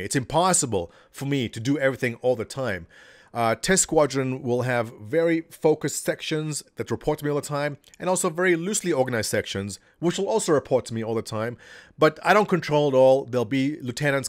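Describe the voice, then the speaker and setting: A man's voice: medium pitch, dry recording, studio quality. A man in his thirties answering viewer questions in a casual, jokey way at his home desk, speaking into a desk microphone. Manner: animated, jokey, reads questions aloud. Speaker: gaming community leader answering viewer questions. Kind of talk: casual webcam Q&A. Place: home office, seated in gaming chair. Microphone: desk condenser mic in front of him.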